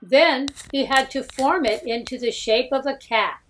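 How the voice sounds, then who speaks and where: Woman reading a storybook slowly. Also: sits high, heavy background noise. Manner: slow, deliberate reading. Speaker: storybook reader. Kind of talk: dramatic storybook narration.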